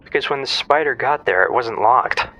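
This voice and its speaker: Actor playing a bright, breezy spaceship crewmate. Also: medium pitch, normal speed, slight background noise, lightly acted, low-quality recording. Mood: dry. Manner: breezy, teasing, casual. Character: flippant, excitable spaceship partner guiding by comms. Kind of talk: audio drama acting.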